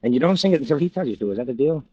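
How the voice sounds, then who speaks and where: A man's voice: medium pitch, expressive, very fast, a little mellow, no echo, close to an everyday voice. An actor playing a suspicious, sardonic tough guy in an old radio thriller, speaking in clipped slang. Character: wry, suspicious, hard-boiled tough guy. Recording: dull, lo-fi vintage radio recording.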